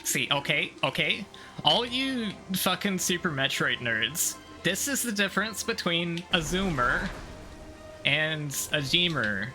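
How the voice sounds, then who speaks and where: A man's voice: fairly high pitch, very animated, a little slow, bright, forceful tone. A man giving casual, rambling gameplay commentary as a streamer, swearing freely and picking at details. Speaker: streamer playing a video game. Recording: game music behind the voice. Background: video game music.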